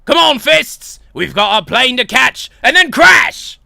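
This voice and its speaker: Brief: male performer as blustering cartoon big boss, commanding, forceful and booming, animated pitch. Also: measured pace, creaky, no room sound, clean studio sound, exaggerated voice. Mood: brash, commanding.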